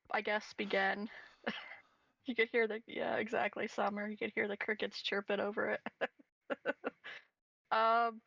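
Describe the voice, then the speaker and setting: A woman's voice: mid-range voice, a bit bright, no echo, dull audio. A woman chatting casually as a player in a tabletop roleplaying game. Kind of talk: casual chat during play.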